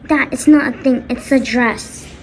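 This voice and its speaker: A woman voicing a spoiled teenage daughter, whining and begging in drawn-out pleas in a homemade skit. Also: high-pitched, fairly even intonation, a little hiss or hum. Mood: pleading. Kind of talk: homemade skit role-play.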